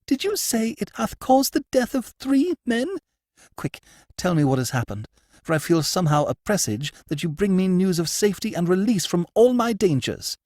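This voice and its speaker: A man's voice: sits high, big pitch swings, moderate pace, darkish tone, no room sound, clean studio sound, voice pushed up. A man reading a story, voicing a veiled, agitated noblewoman with a piercing, urgent and imperious manner. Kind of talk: audiobook character voice.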